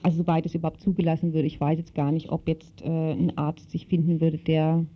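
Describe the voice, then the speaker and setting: A woman's voice: low-pitched, flat delivery, fairly quick. A woman speaking as an activist guest in a radio interview, explaining spontaneously and searching for words. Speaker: interview guest, activist in a women's working group. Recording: dull, lo-fi radio recording.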